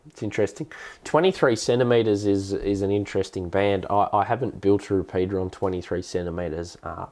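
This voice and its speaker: A man with an Australian accent and a cold, explaining things casually and haltingly as the host of a hobbyist live stream. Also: lowish voice, darkish tone. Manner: halting, hesitant delivery with repeated words. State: has a cold.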